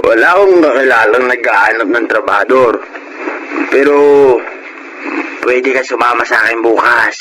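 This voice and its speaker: A man's voice: mid-range voice, moderate pace, pauses often, background noise, lightly acted. Easygoing, coaxing buddy, played by a man. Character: easygoing, persuasive male drinking buddy. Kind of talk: Filipino radio drama acting.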